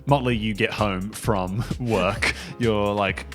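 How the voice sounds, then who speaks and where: A man's voice: on the low side, steady tone, slow pace. A man improvising narration as a game master in a casual, informal, jokey way. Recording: music playing behind.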